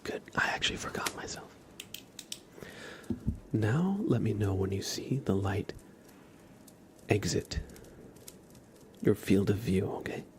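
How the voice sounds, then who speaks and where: A man doing a soft-spoken ASMR roleplay as a streamer, breathy and often whispering, giving gentle, playfully deadpan instructions. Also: lowish voice, takes it slowly, pauses often.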